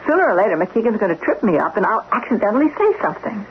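A woman's voice: medium pitch, very animated, quick pace, close to an everyday voice. An actress playing an earnest, troubled woman doctor in a radio drama, speaking in a hesitant, conversational way. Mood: troubled, sad. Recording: dull, noisy old radio recording.

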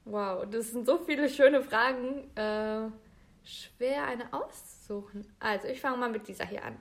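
A woman's voice: fairly high pitch, measured pace. A young woman co-hosting a podcast in casual chat, supportive and asking follow-up questions. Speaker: podcast co-host.

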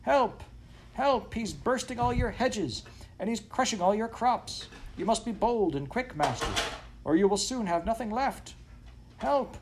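A man voicing a frantic, cheeky farm dog in a storybook, yammering excitably on a noisy recording. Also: high voice, normal speed, few pauses, voice pushed up. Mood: urgent warning. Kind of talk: storybook character voice.

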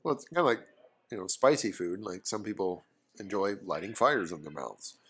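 A man co-hosting a podcast, loud and full of quick joking asides and fillers while tasting bourbon. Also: deep voice, very animated, breathy edge. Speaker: podcast co-host. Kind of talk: casual chat over bourbon tasting.

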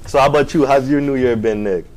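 A man's voice: mid-range voice, quick pace, a little mellow, low-quality recording. Laid-back young man hosting a podcast. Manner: laid-back slang, asks lots of questions. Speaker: podcast host. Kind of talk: casual chat.

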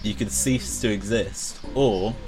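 A man's voice: lowish voice, takes it slowly, a little mellow, faint music behind. A man hosting a podcast, joking around in casual chat. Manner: playful, jokey, laughs often. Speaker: podcast host.

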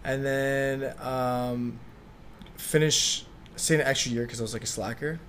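Young man, interview guest, relaxed, filler words, faint background noise. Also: medium pitch, a little slow. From Slovakia. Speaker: guest, clothing designer. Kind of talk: casual interview chat.

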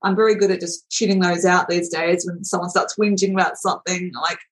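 A woman's voice: lowish voice, little pitch movement, brisk, slight background noise, poor audio. A woman hosting a podcast interview, chatty and affirming, with frequent fillers as she responds to her guest. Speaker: podcast host.

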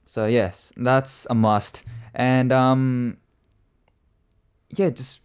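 A man's voice: medium pitch, fairly even intonation, very slow, clear, resonant tone. A man with a mild Australian accent chatting casually and informally as a show guest. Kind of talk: casual chat.

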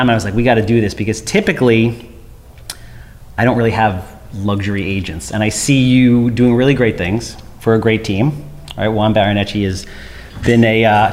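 A man's voice: medium pitch, big-room sound, a little hiss or hum. A man hosting a podcast, chatting casually and upbeat, heaping playful compliments on his guest. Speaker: podcast host. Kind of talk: casual interview chat.

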